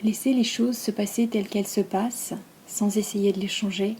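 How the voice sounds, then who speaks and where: Female yoga nidra guide, French accent, dark relaxed tone, calm and soft with long pauses, slight background noise. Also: mid-range voice. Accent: French from France. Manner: soft, breathy, calm and soothing delivery; unhurried, with long pauses between phrases.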